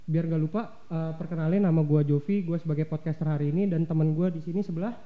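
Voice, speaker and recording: A woman's voice: deep voice, steady tone, quick pace. A young woman hosting a student podcast, casual, slangy and teasing. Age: young adult. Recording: dull audio with crowd noise.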